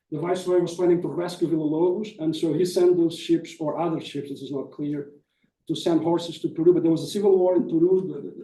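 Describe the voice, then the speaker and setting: A man's voice: a little high, dark tone. A man giving spontaneous academic answers, explaining his points and often checking in with "right?". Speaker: academic presenter answering questions. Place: indoor conference room. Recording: echoey room, distant mic.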